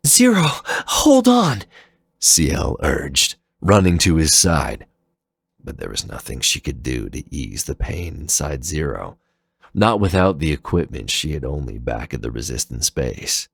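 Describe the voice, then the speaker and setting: A man's voice: rumbling low, expressive, measured pace, gravelly, fairly bright tone, breathy edge, no echo, studio quality. A man narrating a dramatic story in a solemn, theatrical manner.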